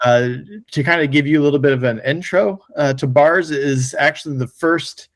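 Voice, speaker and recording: A man's voice: on the high side, normal speed, dry recording. An American man hosting a podcast in a casual, conversational style. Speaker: podcast host.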